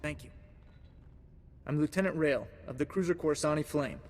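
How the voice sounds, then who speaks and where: An actor playing a groggy, dazed fleet lieutenant just rescued, in a video game cutscene. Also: male voice, a little high, expressive, moderate pace, big-room sound, natural voice. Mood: dazed, relieved.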